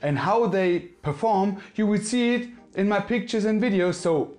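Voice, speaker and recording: A man's voice: high voice, moderate pace, a little mellow. A man from Germany with a German accent and German as his first language, hosting a casual phone review in English, sounding a bit nervous and often correcting himself. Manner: nervous, self-correcting, friendly. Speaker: tech reviewer.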